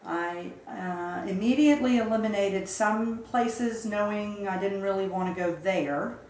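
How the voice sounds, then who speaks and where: A woman in her fifties from South Carolina, recounting her life story in a measured, reflective way during an interview. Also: medium pitch, a little mellow, echoey room, slight background noise, poor audio. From Charleston, South Carolina. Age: 50s. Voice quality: measured, reflective, with occasional fillers. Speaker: interview guest.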